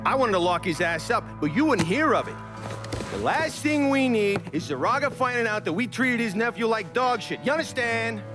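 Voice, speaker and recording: An actor playing a defensive, foul-mouthed gangster underling in a video game cutscene. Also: male voice, very high voice, animated, moderate pace, over music. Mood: defensive, agitated. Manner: defensive, profane.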